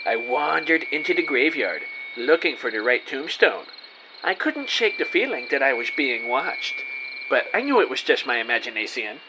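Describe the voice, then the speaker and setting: An actor playing an earnest, excitable, bumbling amateur detective, narrating his case melodramatically in an audio drama. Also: mid-range voice, muffled recording, background noise. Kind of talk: scripted first-person audio drama narration.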